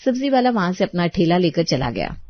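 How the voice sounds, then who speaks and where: A woman's voice: on the low side, very animated, a little fast, no echo, dull audio. A woman storyteller reading scripted narration for an audio drama.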